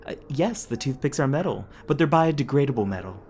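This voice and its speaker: A man's voice: mid-range voice, animated, brisk, darkish tone, slight background noise, lightly acted. A man voicing a mild, helpful woman hotel clerk in an audio roleplay, hesitant and polite. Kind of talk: ASMR audio roleplay acting.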